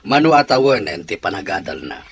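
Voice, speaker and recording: Grudging, sceptical provider, husky, wildly swinging pitch, exasperated radio-drama questioning. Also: male voice, sing-song, brisk. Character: sceptical, grudging man pressed for money. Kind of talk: Ilocano radio drama acting.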